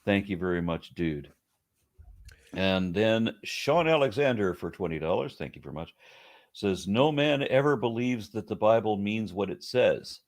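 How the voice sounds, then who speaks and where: Man with a low, lower-register voice, middle-aged, livestream host, reading viewer messages aloud with brief remarks in between, casual and unhurried. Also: lively intonation. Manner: reads messages aloud, then comments briefly.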